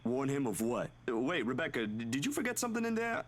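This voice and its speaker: A man's voice: medium pitch, sing-song, brisk. A man playing a concerned, hesitant young man in a visual novel game, in a noisy recording. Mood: worried. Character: Zachary, concerned, hesitant young man, anxious for his friend.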